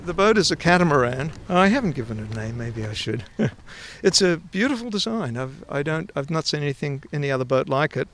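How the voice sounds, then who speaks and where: A man's voice: on the high side, animated, fairly quick, a bit bright, slight background noise. An Australian man in his forties, talking casually and eagerly about river sailing outdoors at a dock, often restarting his sentences. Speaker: interviewee, river sailor.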